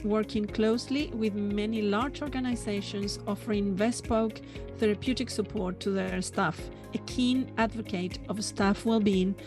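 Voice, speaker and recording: Woman hosting a podcast interview. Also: mid-range voice. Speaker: podcast host. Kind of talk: interview introduction and questions.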